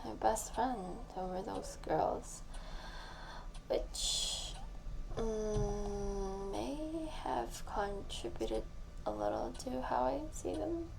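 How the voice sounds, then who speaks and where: A young woman playing a tipsy, needy, lovesick best friend in an intimate audio roleplay, rambling and halting as if leaving a voicemail. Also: mid-range voice, very animated, gravelly, exaggerated voice. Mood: fond, frustrated. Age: young adult. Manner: drawn-out, rambling, sighing, halting. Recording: faint background noise.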